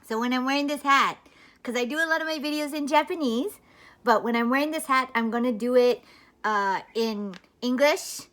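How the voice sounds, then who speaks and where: A woman's voice: a little high, a bit bright. A woman from Hawaii talking casually to the camera as a vlogger, telling stories in a chatty, rambling way with bits of local slang.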